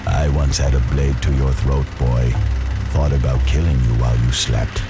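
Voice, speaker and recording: An exceptionally deep-voiced outlaw villain. He delivers cold, sneering threats in the manner of a Western game boss, with very loud music underneath. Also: fairly even intonation, normal speed. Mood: cold taunting. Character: cold menacing veteran outlaw, taunting an old foe. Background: loud dramatic music.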